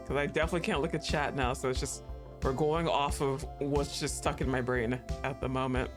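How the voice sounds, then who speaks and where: A woman's voice: extremely low pitch, fairly even intonation, moderate pace, long pauses, music in the background. A woman streaming a game, chatting casually, laughing often and swearing now and then. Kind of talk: casual gameplay commentary.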